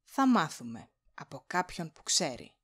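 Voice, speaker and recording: A woman's voice: low voice, very animated. A woman playing a vain, scheming boss in an audio drama, with a condescending, cutting manner. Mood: smug, plotting. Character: haughty scheming vain female boss, cold villain. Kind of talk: Greek audio drama acting.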